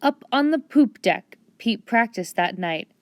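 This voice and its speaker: A woman reading a storybook aloud with expressive children's narration. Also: on the high side, unhurried, no room sound. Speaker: storybook reader.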